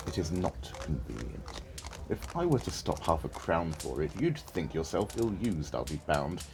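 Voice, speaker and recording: A man's voice: very deep voice, big pitch swings, normal speed, vocal fry, background noise, heavily acted. A man playing a miserable, grumbling old miser in a Victorian audio drama, curt, begrudging and sneering. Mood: grudging, scornful. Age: older.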